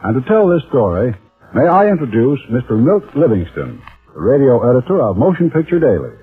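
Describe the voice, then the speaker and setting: Husky, animated 1940s radio pitchman. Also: a little fast. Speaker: commercial announcer. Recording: tinny, muffled vintage radio broadcast.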